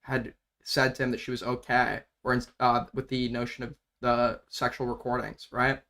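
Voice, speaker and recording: A man's voice: mid-range voice, monotone, normal speed, pushed and bright. A man hosting a livestream, bluntly arguing with a caller and commenting on a video with sarcastic remarks. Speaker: livestream host. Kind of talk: casual livestream commentary and call-in debate.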